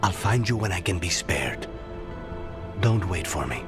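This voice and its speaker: Low, grave, embittered assassin. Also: male voice, very animated, a little slow, loud music behind the voice. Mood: cold resignation. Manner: grave, terse, wounded. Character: bitter, grieving male assassin, weary and grave. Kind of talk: dramatic video game acting.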